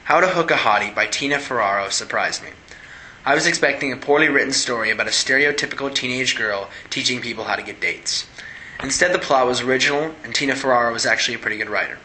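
A man's voice: mid-range voice, fast talker, sharp tone, rough recording. A teenage boy reading a scripted book review aloud. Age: teens. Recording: faint background noise.

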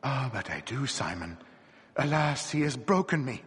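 A man's voice: medium pitch, big pitch swings, moderate pace, a bit bright, slightly rough, heavily acted. A man voicing a gaunt, weary peasant woman who laments her lot in a plaintive, dramatic way, as part of a story reading. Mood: plaintive, sorrowful. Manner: lamenting, dramatic, sighing old-fashioned speech. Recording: reverberant hall with long echo.

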